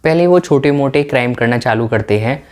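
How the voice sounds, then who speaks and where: A man's voice: medium pitch, fairly quick, a little mellow. A man narrating in an explanatory, documentary style.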